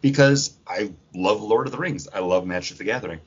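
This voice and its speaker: A man co-hosting a podcast, casually running through news with a fan's enthusiasm and a husky voice. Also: lowish voice, brisk. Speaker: podcast co-host. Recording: dull lo-fi recording.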